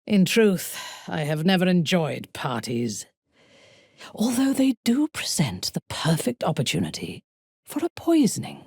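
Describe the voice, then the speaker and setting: A woman playing a haughty, ruthless dark elf warrior in a video game, speaking in an imperious, deliberate, measured way. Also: lowish voice, big pitch swings, breathy edge, dry recording, studio quality, full character voice. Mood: poised, intense. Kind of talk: dramatic video game character acting.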